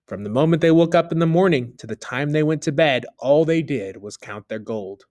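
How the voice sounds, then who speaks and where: Animated male narrator for a read-aloud fable, bright and lively melody. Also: a little fast. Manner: expressive, dropping low for narration tags. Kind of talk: children's story read-aloud.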